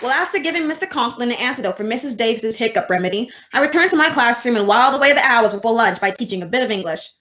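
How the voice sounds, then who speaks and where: Woman acting a wry schoolteacher, higher-pitched, muffled audio. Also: expressive, quick pace, hardly any pauses, pushed and bright. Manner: dry, wry. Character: wry, practical female high school English teacher. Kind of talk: old-time radio comedy acting with narration. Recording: dull lo-fi radio drama audio.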